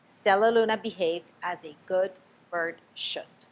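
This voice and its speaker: A woman reading a children's picture book aloud, expressive, stopping to ask the listener questions. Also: fairly low pitch, a little slow, slight background noise, rough recording. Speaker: storybook reader.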